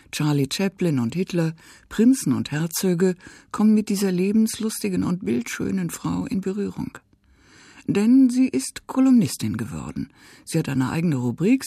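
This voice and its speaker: A woman reading a scripted radio book review in a crisp, even narration with lively emphasis. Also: fairly low pitch, dry recording, clean studio sound.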